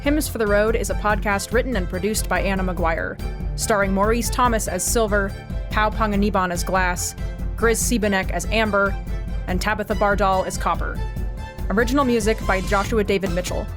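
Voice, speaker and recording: Lady reading out podcast credits, scripted, medium pitch, music playing under her. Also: normal speed.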